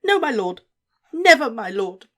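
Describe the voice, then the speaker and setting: A woman voicing a cowering, sniveling male servant who whimpers and pleads in a high voice. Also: sing-song, extremely slow, husky, exaggerated voice. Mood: pleading.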